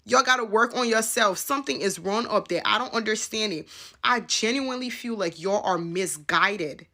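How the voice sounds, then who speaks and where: Bright-voiced exasperated woman calling people out. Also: medium pitch, normal speed. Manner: exasperated, calling out, uses slang. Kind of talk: casual social media video.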